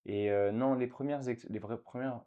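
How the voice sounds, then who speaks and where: A man's voice: mid-range voice, fairly even intonation, moderate pace, narrow, tinny audio. A man in his thirties from France, a guest in a casual interview, talking with many fillers and restarts.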